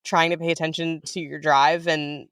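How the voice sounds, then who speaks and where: A woman's voice: low-pitched, level tone, moderate pace, a bit bright, clean tone, no room sound, clean studio sound. A woman speaking as an interview guest, talking casually and reflectively, thinking aloud in informal phrases. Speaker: interview guest, writer and director.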